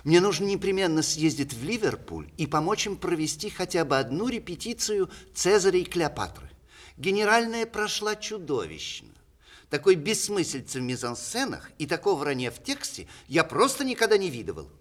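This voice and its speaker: An animated, ironic, witty playwright. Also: male voice, fairly high pitch, moderate pace. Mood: wry, indignant. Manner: ironic, declamatory, precise stage diction. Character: witty, vain, ironic playwright writing flirtatious letters. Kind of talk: 1960s Soviet radio theatre acting.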